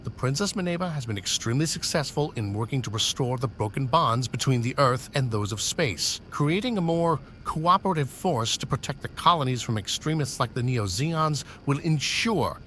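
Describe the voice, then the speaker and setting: A man's voice: mid-range voice, animated, normal speed, few pauses, fairly bright tone, lightly acted. A stern senior officer barking clipped, commanding orders at his subordinate , as a man plays him. His voice sits in a middle register, with a faint hiss of noise under it in this sci-fi audio drama.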